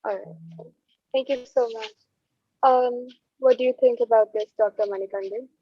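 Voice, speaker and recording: A woman's voice: on the high side, fairly even intonation, normal speed, no room sound. A woman moderating an online panel, handing questions to doctors and affirming briefly. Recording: dull dry online call audio.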